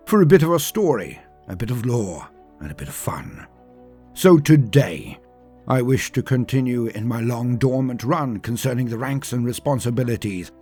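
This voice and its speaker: A man narrates dramatically in a fairly low, husky voice. His phrasing is ornate and measured, like a practised storyteller reading from a script. Faint music runs behind him. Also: darkish tone. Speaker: channel host and storyteller.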